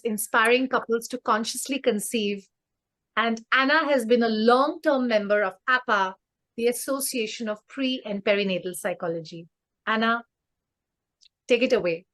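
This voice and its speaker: A woman with an accent hosts an online conference and introduces the next speaker. She talks in a friendly, quick way in a fairly high voice over a video call. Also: a bit bright. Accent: non-native English. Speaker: conference host.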